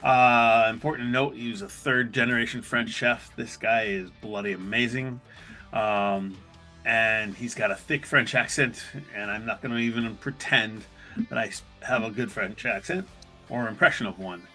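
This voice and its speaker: Male podcast host, middle-aged, crisp bright tone, conversational self-deprecating storyteller, guitar music underneath. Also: medium pitch, fairly even intonation, normal speed. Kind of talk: casual solo podcast storytelling.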